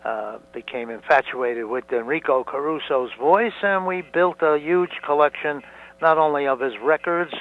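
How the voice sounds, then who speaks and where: A man in his nineties whose first language is Italian, a museum founder answering interview questions slowly, with many fillers and restarts. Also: on the high side. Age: 90s. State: hard of hearing. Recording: dull lo-fi recording.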